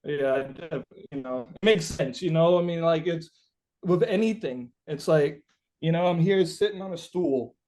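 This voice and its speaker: Man, interviewed musician, slightly high pitch, casual reflective chat, halting, informal, searching phrasing. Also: mellow, poor audio.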